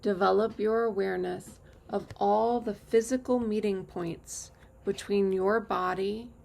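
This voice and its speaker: A woman teacher leading a guided meditation with calm, measured instructions and long pauses. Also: mid-range voice, vocal fry. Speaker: yoga nidra teacher.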